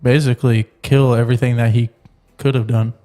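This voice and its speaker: A man's voice: mid-range voice, flat delivery, fairly quick, warm tone. A man speaking in an informal, conversational way in a casual discussion. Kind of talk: casual chat.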